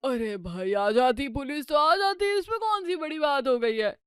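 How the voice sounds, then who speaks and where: A woman's voice: high voice, expressive, moderate pace, a bit bright, dry recording, studio quality. A woman playing an unfazed, dismissive middle-aged husband and father in a comedy story, speaking in a nonchalant manner. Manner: nonchalant, shrugging off everything. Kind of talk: Hindi comedy story dramatisation.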